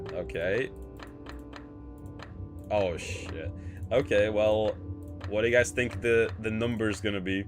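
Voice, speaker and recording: A man with a blended British and American accent rambles casually through a livestream, talking to himself and his chat. His voice sits on the low side, and music plays clearly underneath. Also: extremely slow, pauses often. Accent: mixed British and American. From Poland. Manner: chatty, rambling, thinks out loud. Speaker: streamer.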